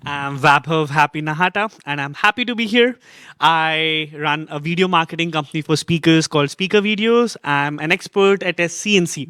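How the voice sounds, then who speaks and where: A man speaking on a live panel in a playful, jokey and energetic way. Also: a little high, smooth, no room sound, clean studio sound. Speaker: panelist, video marketer. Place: convention stage.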